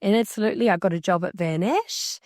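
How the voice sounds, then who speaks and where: A woman interpreting a signer's casual story aloud, in halting, conversational phrases. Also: mid-range voice, a little mellow, no echo, studio quality. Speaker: sign language interpreter voicing a signer.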